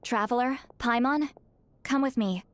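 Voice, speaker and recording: Aloof young shaman woman, high-voiced acted. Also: unhurried, slight background noise, close to an everyday voice. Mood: subdued. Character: aloof, proud young female shaman, secretly caring. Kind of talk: animated game voice acting. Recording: music under the voice.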